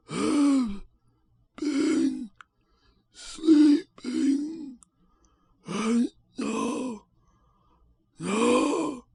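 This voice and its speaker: A man voicing an undead, mesmerized man who speaks haltingly from beyond death in an anguished, unearthly voice. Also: very high-pitched, vocal fry, warm tone, slightly rough, no room sound, studio quality, voice pushed up, heavily acted. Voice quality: harsh, broken, hollow, unearthly. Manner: halting, drawn-out, word by word.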